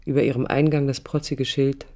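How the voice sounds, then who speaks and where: A woman's voice: rumbling low, steady tone, brisk, dark tone. An actress playing a restless, idealistic young woman architect, narrating reflectively in a German radio drama. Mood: wistful, resolute. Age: young adult. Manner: reflective, literary, emphatic short phrases. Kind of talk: 1980s German radio drama, introspective first-person narration.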